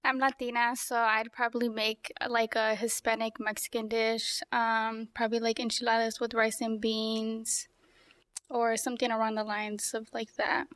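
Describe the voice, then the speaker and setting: A woman's voice: fairly high pitch, level tone, moderate pace, a bit bright, no room sound. A woman in her twenties from Minnesota, a contestant answering in a matter-of-fact way. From Woodbury, Minnesota. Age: 20s. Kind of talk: casual game show answers.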